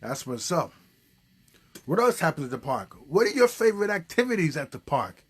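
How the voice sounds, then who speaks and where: A man's voice: on the high side, sing-song, moderate pace, husky. A man hosting a puppet show, chatting casually and encouragingly and prompting with questions. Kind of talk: casual, unscripted chat.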